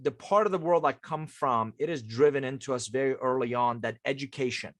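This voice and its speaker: A man's voice: medium pitch, fairly quick, fairly bright tone, no room sound. A man in his fifties from Iran, a native Persian speaker, talking conversationally and emphatically as an interview guest who coaches leaders. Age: 50s.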